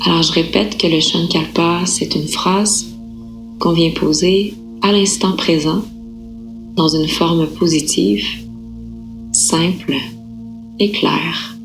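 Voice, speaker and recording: A woman yoga nidra instructor guiding a relaxation meditation in a calm, unhurried, soothing manner with gentle pauses. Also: lowish voice, soft background music, low-quality recording.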